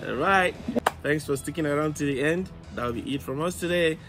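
A man's voice: fairly high pitch, brisk. A young man hosting an electronics channel, talking through a project demonstration in voice-over. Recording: music behind the voice. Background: music, radio playing.

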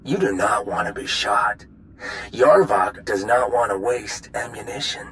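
A man's voice: fairly low pitch, fairly bright tone, a little hiss or hum, rough recording. An actor playing a brazen pirate boss in a video game, blustering and offhand as he broadcasts ransom demands. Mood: bored menace. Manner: blustering, offhand, slow delivery with self-interruptions.

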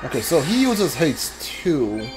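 A man's voice: fairly high pitch, very animated, slow, poor audio. A man doing casual game commentary, thinking aloud in short, muttered remarks as he plays. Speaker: let's play streamer. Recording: game music under voice. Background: video game music and sounds.

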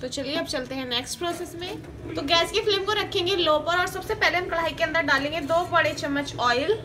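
A woman hosting a cooking channel, talking through a recipe one step at a time in a steady, teaching manner and repeating each step. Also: very high-pitched, a little fast, over music. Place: home kitchen. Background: sizzling and stirring in a pan.

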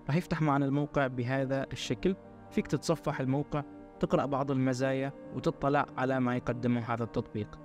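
Fast-talking young man, music behind. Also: medium pitch, darkish tone. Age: 20s. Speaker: software review presenter. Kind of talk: scripted promotional tutorial narration. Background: background music.

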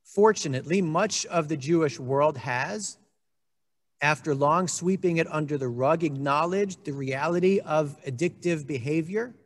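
A man in his forties, a congregation rabbi, giving a measured, earnest sermon to camera at a desk microphone, with a few dry asides. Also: on the high side, big-room sound. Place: indoor room with a wood-panelled wall. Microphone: desk microphone on a stand.

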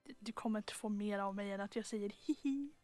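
A woman's voice: medium pitch, darkish tone, soft background music. A woman, an amateur voice actor chatting and joking casually between takes.

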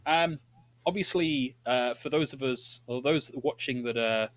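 A man's voice: mid-range voice, a little slow, a bit bright. A man hosting an esports interview, asking questions politely and hesitantly with many fillers, over a video call.

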